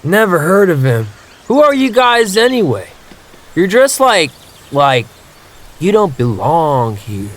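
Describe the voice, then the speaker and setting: An actor playing a laid-back, spacey 1970s hippie in a comic audio drama, with a drawling stoner manner. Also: male voice, high-pitched, very animated, slow pace, heavily acted. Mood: spaced-out, puzzled. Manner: drawling, mellow stoner talk.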